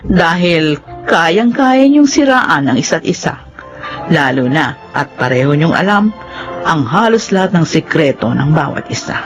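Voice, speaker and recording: A woman's voice: deep voice, very animated, a little slow. An actress playing a resentful older woman telling of her feud in a radio drama. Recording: music behind voice.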